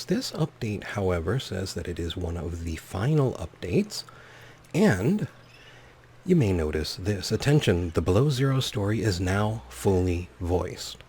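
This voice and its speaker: Guy doing lets play commentary, lowish gravelly voice, lively pitch, wry little asides, faint noise behind. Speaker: gaming video host. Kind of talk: let's play commentary, reading game text aloud.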